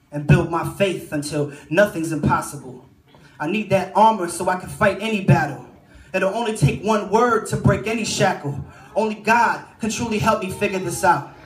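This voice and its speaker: High male voice, echoey prayerful poetry. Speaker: spoken word poet at a church youth service. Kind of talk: rhythmic prayer-like spoken word. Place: church hall during a live service. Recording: echoey live church recording.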